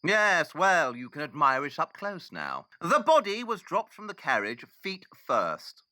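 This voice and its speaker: A man's voice: on the high side, wildly swooping pitch, measured pace, pushed and bright, no echo, heavily acted. A man acting as an arrogant, impatient Victorian detective in an audio drama, brusque and condescending. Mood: smug, analytical.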